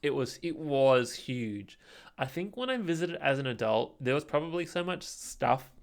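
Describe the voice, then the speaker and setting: A young man co-hosting a podcast, chatting and telling stories informally, haltingly, often checking in with a questioning tag. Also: mid-range voice, lively intonation. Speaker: podcast co-host. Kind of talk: casual chat, storytelling.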